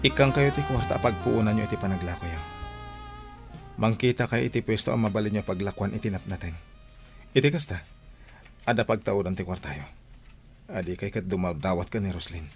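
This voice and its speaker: A man's voice: fairly low pitch, a little fast, pauses often, faint music behind, lightly acted. A man acting a stern, fed-up grown son in a radio drama, lecturing firmly with an Ilocano accent. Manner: firm, lecturing. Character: stern, fed-up grown son scolding his gambling mother.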